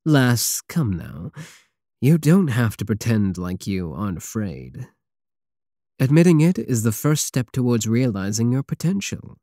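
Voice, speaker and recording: Male actor as a cocky space pirate king, mellow, expressive pitch, teasing endearments, possessive, intimate ASMR roleplay. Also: no echo, clean studio sound. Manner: slow, teasing, purring endearments, sardonic.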